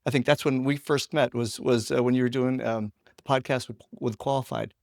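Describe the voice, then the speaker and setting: A male interviewer with a medium-pitched, gravelly voice chats casually. He feels his way into each question in a conversational manner. The recording is close and dry. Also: fairly even intonation, fairly quick, no echo. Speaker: interviewer, host.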